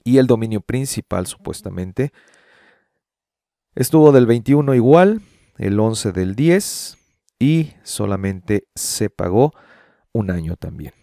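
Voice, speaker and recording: A man's voice: lowish voice, animated, takes it slowly, creaky, long pauses, mellow, dry recording. A middle-aged man hosting a video, explaining things casually and speaking directly to the viewer into a close studio microphone. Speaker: YouTube host. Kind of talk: explanatory screen-share commentary, casual. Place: small home room with shelves and framed pictures. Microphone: close studio condenser microphone on boom arm.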